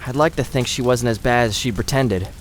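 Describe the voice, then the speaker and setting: A man's voice: medium pitch, a little fast, slight background noise, natural voice. Man playing a blunt, sincere fantasy hero. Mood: blunt, somber. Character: blunt, devoted, self-blaming fantasy hero in human form. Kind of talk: audio drama character acting.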